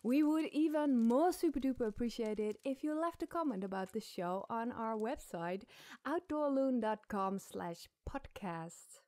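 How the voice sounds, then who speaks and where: High-pitched woman telling a campfire story. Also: animated, a little slow, dark tone, dry recording, clean studio sound. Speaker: podcast host, storyteller. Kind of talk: dramatic scripted story narration. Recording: clear background night ambience noise.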